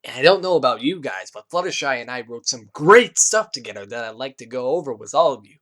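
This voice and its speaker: A man's voice: medium pitch, lively intonation, fairly quick, a bit bright, close to an everyday voice. A brash, enthusiastic teenage girl, read by a man in a story. The delivery is casual and punchy.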